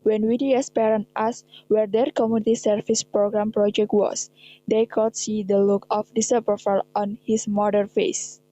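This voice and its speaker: A woman's voice: medium pitch, level tone, normal speed, mellow, rough recording. A young woman with an Indonesian accent reading scripted narration for a radio drama. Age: young adult. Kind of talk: scripted narration, read aloud.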